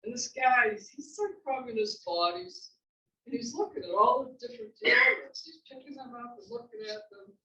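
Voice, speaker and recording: A woman's voice: a little high, sing-song, moderate pace, sharp tone, slight background noise. A woman playwright speaks from across an echoey room, her voice slightly muffled. She chats casually and lets her stories wander from one detail to the next. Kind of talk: casual Q&A chat after a reading. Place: library event room. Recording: echoey library room, distant muffled mic.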